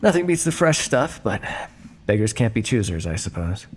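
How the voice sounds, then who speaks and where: A man's voice: on the low side, very animated, moderate pace, slight background noise. A man playing a smug, teasing vampire scientist captor in an ASMR roleplay, speaking with a theatrical, drawling, mock-polite and sardonic manner. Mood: smug, explanatory. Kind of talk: ASMR roleplay monologue addressed to listener.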